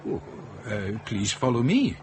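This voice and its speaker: Translator. Expressive intonation, hesitant politeness, quiet certainty. Radio drama. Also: male voice, medium pitch, a little slow, noisy background. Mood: insistent, polite. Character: courteous, hesitant Norwegian translator, firm in his certainty.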